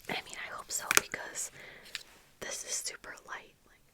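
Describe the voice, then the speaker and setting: A young adult woman whispering softly and breathily into a close microphone in casual, rambling, unhurried ASMR chat. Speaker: ASMR video creator. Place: small room at home with bookshelves. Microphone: close desk microphone with fluffy windscreen.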